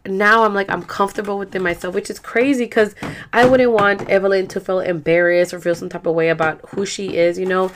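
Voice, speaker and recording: A woman's voice: mid-range voice, steady tone, fairly quick, slight background noise. Young salvadoran woman hosting a podcast, talks loose and slangy, lots of likes and you knows. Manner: chatty, slangy, rambling with many fillers. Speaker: podcast host. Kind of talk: casual chat.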